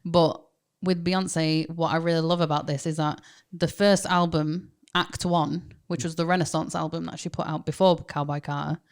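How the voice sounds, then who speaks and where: A woman's voice: deep voice, moderate pace, few pauses, smooth. A young adult woman from England with a Manchester accent, chatting casually and animatedly as a podcast co-host. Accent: Manchester English. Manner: animated, chatty, laughs often. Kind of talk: casual chat.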